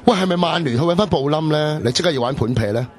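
A man's voice: a little high, animated, fast talker, a little mellow, husky. A man with a Hong Kong Cantonese accent playing a meddlesome, teasing young coworker in a radio drama. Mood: needling. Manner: teasing, provoking. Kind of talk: colloquial radio drama acting.

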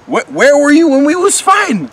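A man's voice: a little high, big pitch swings, normal speed. A man playing an agitated former henchman in an amateur comedy drama, stammering and flustered. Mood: flustered, excitable.

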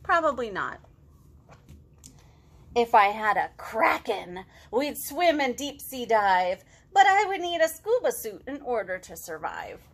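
A woman in her thirties leading a storytime for young children, expressive and encouraging, with simple child-directed phrasing. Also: a little high, a little slow. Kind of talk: animated children's storytime, reading aloud and chatting to young children. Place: living room at home.

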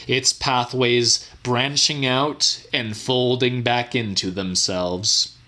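A man giving a dramatic first-person audiobook reading of weird horror fiction in a measured, theatrical, deliberate way. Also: medium pitch, pushed and bright. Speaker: audiobook narrator.

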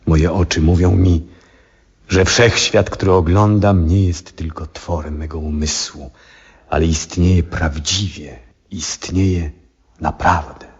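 An actor playing an aging, troubled, devout astronomer in a 1970s radio drama, speaking in a solemn, reflective and husky way. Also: male voice, sits low, steady tone, a little mellow, full character voice. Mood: wondering, resolute. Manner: solemn, reflective, theatrical, deliberate phrasing. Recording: dull vintage radio recording.

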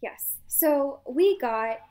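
A woman co-hosting a podcast in casual chat, giggling often and trailing off softly at the ends of sentences. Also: high voice, expressive, extremely slow. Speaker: podcast co-host.

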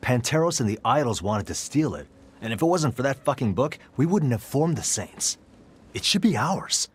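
A man's voice: mid-range voice, sing-song, normal speed, a little hiss or hum, close to an everyday voice. An actor playing a tough, swaggering young male gang member in a video game, blunt and foul-mouthed. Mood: blunt, confident.

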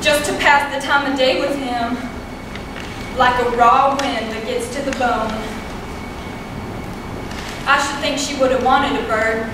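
A rueful, plain-spoken farm wife in a stage drama, acted by a young woman. Her voice is high and earnest, with folksy phrasing. It comes from far off, very echoey, over clear noise. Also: fairly even intonation, measured pace, pauses often. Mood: regretful, reflective. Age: young adult. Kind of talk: amateur stage play acting. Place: small theatre stage, filmed from audience. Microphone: distant camera.

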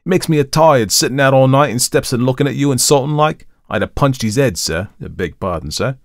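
A man's voice: medium pitch, expressive, a little fast, no room sound, clean studio sound, voice pushed up, close to an everyday voice. A man voicing a young Cockney manservant and ex-soldier, deferential but indignant, in a colloquial storybook reading. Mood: indignant, gossipy. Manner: colloquial, deferential, calls master sir.

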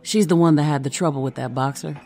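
A woman's voice: low voice, fast, a little mellow. A woman playing a sceptical, probing special agent in naturalistic audio drama dialogue.

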